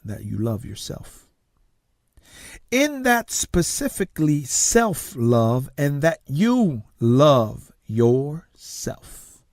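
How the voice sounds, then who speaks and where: A man who grew up in Tonga, a native Tongan speaker, hosting a talk show as a success coach, delivering an emphatic motivational monologue with dramatic pauses and soft, hushed phrases. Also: medium pitch, sing-song, no room sound, clean studio sound. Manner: slow, emphatic, dramatic pauses, drops to soft near-whispers.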